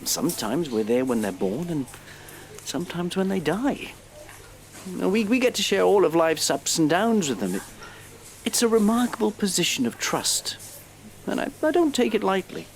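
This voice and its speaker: An educated British male GP, played by an actor in an audio drama, with highly varied intonation. He is well-meaning but easily exasperated, picking his words hesitantly and a little primly. Faint ambient noise runs behind the voice. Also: very animated, normal speed. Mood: reflective, earnest. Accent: British English. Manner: hesitant, articulate, slightly prim. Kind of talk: audio play acting.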